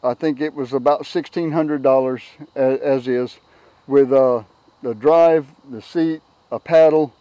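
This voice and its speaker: A middle-aged man giving a casual, unscripted product walkthrough outdoors on a camera mic, halting and repeating words as he goes. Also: mid-range voice, steady tone, warm tone, no echo, low-quality recording. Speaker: product reviewer, kayak owner. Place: outdoors on a grassy yard. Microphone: handheld camera's built-in microphone.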